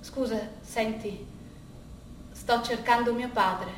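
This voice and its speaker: A woman performing a theatrical first-person storytelling monologue, halting and conversational, repeating phrases as she goes. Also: a little high, fairly even intonation. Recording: distant, very echoey room, faint noise.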